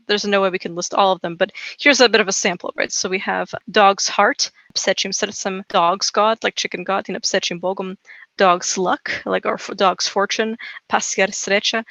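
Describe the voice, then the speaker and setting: A podcast co-host whose first language is Serbo-Croatian talks in a loose, meandering way, leaning on fillers and tag questions. The voice is bright and forward. It sounds close and dry, with no room around it. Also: medium pitch, no echo, highs cut off. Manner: rambling, filler-heavy, frequent "you know" and "right?". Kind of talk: casual folklore podcast chat.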